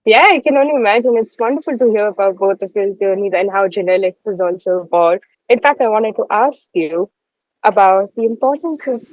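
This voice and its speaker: A woman's voice: mid-range voice, fairly quick, no echo. A woman interviewer putting questions to guests in a conversational way, heard through dull lo-fi audio.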